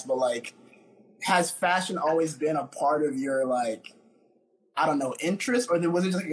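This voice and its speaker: A man's voice: on the high side, a little hiss or hum, low-quality recording. A young man casually interviewing a friend, laid-back and slangy.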